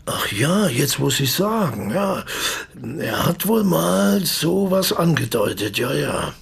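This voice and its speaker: An actor playing an evasive, talkative older former stage actor in a radio play, hesitant and theatrical, with a husky voice. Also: male voice, fairly high pitch, sing-song, takes it slowly, heavily acted. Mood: ingratiating. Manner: hesitant, self-correcting, theatrical. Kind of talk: German radio drama dialogue.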